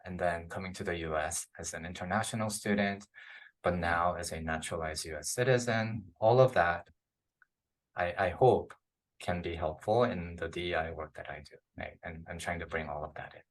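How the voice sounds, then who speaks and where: Low-voiced man, affirming interviewer. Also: normal speed, low-quality recording. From Philippines. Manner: affirming, often checks in with "right?". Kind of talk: casual reflective interview conversation.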